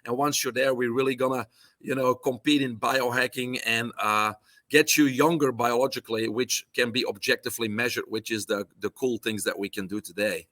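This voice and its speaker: A man's voice: medium pitch, steady tone, normal speed, sharp tone, dry recording. A middle-aged man from Belgium with a Belgian accent, talking as a guest in a casual interview, listing things off with many fillers. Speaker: guest, holistic nutrition doctor.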